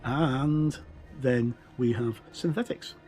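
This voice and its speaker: A man's voice: mid-range voice, takes it slowly, darkish tone, faint music behind. A middle-aged man giving a casual, rambling commentary as he reviews a tabletop game book, explaining informally and drifting into asides.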